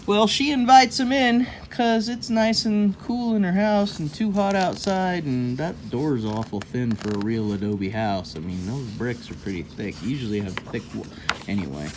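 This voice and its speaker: A woman's voice: a bass voice, normal speed, darkish tone, a little hiss or hum. A woman reading a children's book aloud expressively, breaking off for informal, explanatory asides to young listeners. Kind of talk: expressive storytelling with chatty asides.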